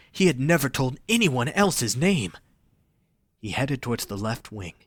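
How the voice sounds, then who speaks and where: A man's voice: mid-range voice, sharp tone, dry recording. A man narrating a scripted romantic story for an audio drama, reading dramatically.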